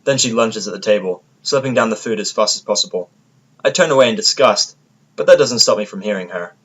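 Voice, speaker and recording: A man's voice: fairly low pitch, brisk, a bit bright, husky, rough recording. A man narrating a first-person audio drama from a script, with a sardonic manner.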